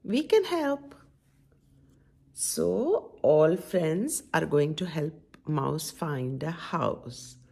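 A woman's voice: sits low, drawn-out words, gravelly, husky. A middle-aged woman hosting a children's story time, reading a picture book aloud with coaxing, sing-song commentary and questions. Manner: animated, sing-song, coaxing teacher-like delivery. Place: small indoor room at home.

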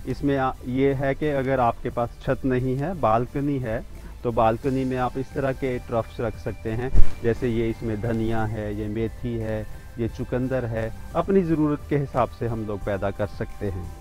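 A man's voice: medium pitch, a little mellow, poor audio. A man in his fifties giving a walking tour of a rooftop vegetable garden outdoors, pointing out each plant plainly and steadily. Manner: pointing things out plainly and steadily, with small restarts. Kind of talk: walking demonstration, explaining to camera.

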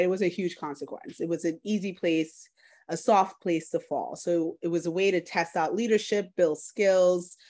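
Woman, 30s, from Trinidad. Low voice, community organizer speaking informally in an online workshop. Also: a little mellow. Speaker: food justice organizer. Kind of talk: personal talk in an online workshop. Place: living room at home, indoors. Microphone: laptop video call.

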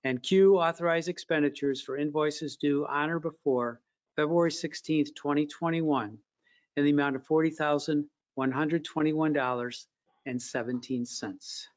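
A man chairing a council meeting by video call, reading agenda items in a steady, formal manner. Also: a little high, moderate pace. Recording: dull lo-fi video call audio.

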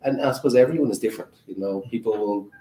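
A man's voice: fairly low pitch, fast, warm tone. A man moderating a webinar panel conversationally. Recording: roomy, echoey sound.

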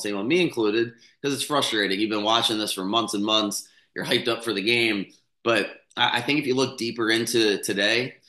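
A man in his thirties, a little hoarse, talks through a game preview in a loose, rambling way, full of restarts and fillers. His voice sits a bit low but sounds bright and forceful. He speaks into a stand mic in a small room that gives a clear echo. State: slightly hoarse from yelling. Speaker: sports betting podcast host. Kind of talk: casual sports commentary. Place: small room with a bookshelf, indoors. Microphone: studio mic on a stand close in front.

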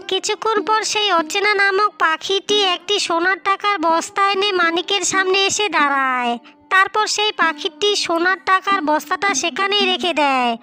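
Bright, very high-pitched woman storyteller. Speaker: narrator. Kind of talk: scripted cartoon fairy-tale narration.

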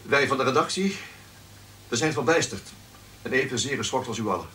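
An actor in a radio drama playing an earnest, shaken announcer who addresses listeners formally and gravely. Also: male voice, medium pitch, animated, a little fast, bright, forceful tone. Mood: shaken, apologetic. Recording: slightly distant, faintly noisy radio recording.

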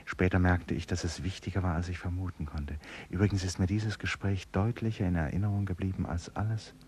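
An actor playing a reflective painter in a German radio play, speaking in a measured, pensive way. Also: male voice, low voice, fairly even intonation, darkish tone, husky. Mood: pensive narration. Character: reflective male painter recalling a jungle expedition. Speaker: radio play narrator and lead. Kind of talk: 1950s-70s German radio play acting, first-person narration and dialogue.

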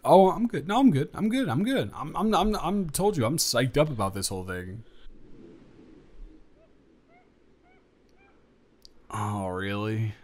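A man's voice: mid-range voice, expressive, normal speed, a little mellow, close to an everyday voice. A young man playing a nervous, devoted friend in an improvised romantic audio roleplay, talking conversationally with stammers and rambling. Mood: flustered, joking. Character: nervous, devoted young male friend about to confess love.

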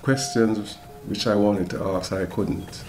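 Soft-spoken older man, low voice. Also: fairly even intonation, slow pace, a little hiss or hum. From Jamaica. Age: 70s. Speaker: guest. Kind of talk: interview conversation. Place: home kitchen. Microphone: desk mic on a boom arm.

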